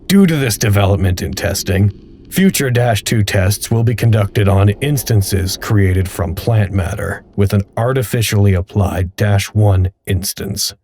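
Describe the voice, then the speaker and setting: An actor playing a detached research log narrator, reading formal reports in a precise, impersonal way for an audio drama. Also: male voice, fairly low pitch, measured pace, darkish tone, dry recording. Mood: flat clinical.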